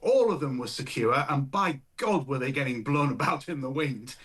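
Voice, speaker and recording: A man's voice: medium pitch, animated, moderate pace, breathy edge. Indignant campaigner man. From England. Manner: indignant, mock-shocked, ironic. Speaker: political campaigner, guest. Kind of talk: talk radio interview.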